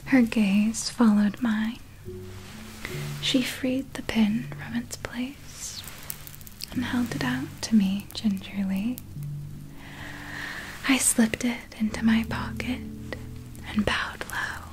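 A woman's voice: fairly high pitch, steady tone, slow, pauses often, music in the background, low-quality recording. A woman voicing an ageless, eerily courteous vampire in a whispered ASMR roleplay, telling tales in formal, old-fashioned storyteller diction. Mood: hushed, reminiscing. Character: ageless vampire recounting past feedings, eerily courteous, possessive.

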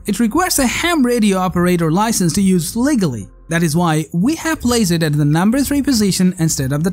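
A narrator reading a scripted tech product countdown in a measured, promotional read-aloud manner. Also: mid-range voice. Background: background music.

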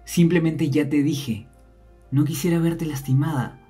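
Man acting a husky, protective young man. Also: a little high, fairly even intonation, unhurried, dark tone, faint music behind. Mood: exasperated, earnest. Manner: insistent, admonishing. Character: protective, stubborn young man, earnest and scolding. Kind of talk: ASMR audio drama acting.

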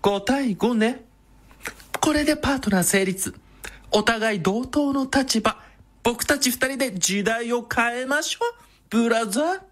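A man's voice: very high voice, a little slow, fairly bright tone, full character voice. An actor playing a flamboyant, effeminate, scheming villain man in a radio drama, campy, theatrical and wheedling. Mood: conceding, coaxing. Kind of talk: Japanese radio drama acting.

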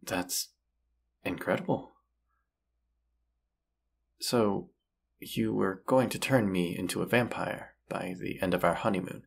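A man playing a gentle, forgiving vampire hunter and lover in an intimate audio roleplay, speaking tenderly with hesitant pauses. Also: low-pitched, vocal fry, breathy edge, heavily acted. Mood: stunned, curious.

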